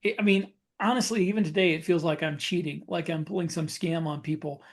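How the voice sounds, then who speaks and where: A man's voice: on the high side, fairly even intonation, fairly quick, noisy background. A man co-hosting a podcast in casual chat, thinking aloud in a reflective, conversational way. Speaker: podcast co-host and writer.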